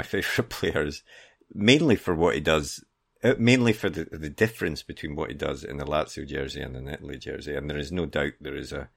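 A man's voice: extremely low pitch, gravelly. A man co-hosting a football podcast, talking casually and giving his opinions freely.

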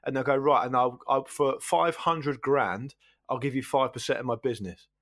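A man's voice: mid-range voice, normal speed, fairly bright tone. A man in casual podcast banter, jokey and often acting out imagined quotes. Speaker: podcast panellist.

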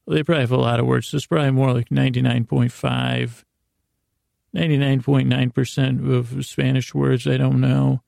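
A man hosting a bedtime podcast in a soothing, meandering monologue, halting often and repeating words as he interrupts himself. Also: medium pitch, flat delivery, vocal fry, a little mellow, dry recording, studio quality. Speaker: host of a bedtime-story podcast.

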